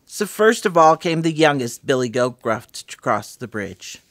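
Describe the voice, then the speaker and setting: Animated woman reading a fairy tale. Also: sits low, measured pace, no echo, clean studio sound. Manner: expressive, dramatic storytelling. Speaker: library story-time narrator. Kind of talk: scripted children's fairy tale narration.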